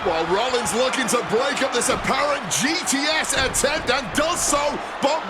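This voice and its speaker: A man playing an excited pro wrestling commentator, calling play-by-play in a dramatic, projecting voice. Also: extremely high pitch, normal speed. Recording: loud arena crowd noise and music. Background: roaring crowd, thumps.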